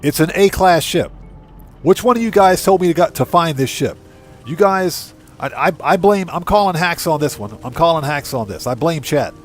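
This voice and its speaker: A man hosts a live game stream in a fairly high-pitched voice. He comments casually and addresses his viewers directly, often repeating a phrase to drive it home. Music plays faintly behind him. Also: animated, normal speed. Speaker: live streamer and channel host.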